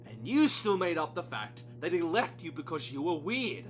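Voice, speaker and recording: A man's voice: fairly high pitch, sing-song, normal speed, soft background music. A man playing a stern, blunt psychologist in an amateur audio play, reproachful and cutting. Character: stern, blunt, coldly taunting male psychologist.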